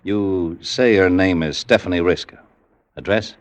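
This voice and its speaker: A man's voice: deep voice, measured pace. An actor playing a skeptical male police detective, blunt and clipped, in an old-time radio drama. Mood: brisk, skeptical. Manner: clipped, blunt, businesslike questioning.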